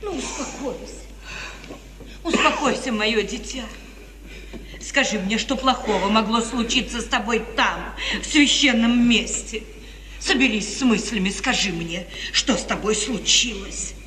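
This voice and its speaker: A woman's voice: a little high, unhurried, a bit bright. An actress playing an anxious, pleading, protective middle-aged mother, expressively declaimed in 1950s Russian radio theatre. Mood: soothing. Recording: noisy, reverberant 1950s radio recording, distant.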